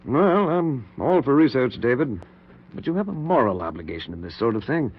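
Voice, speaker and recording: An actor playing a cautious, skeptical middle-aged surgeon in a 1940s radio drama, hesitant and measured. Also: male voice, mid-range voice, sing-song, breathy edge. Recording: dull 1940s radio recording.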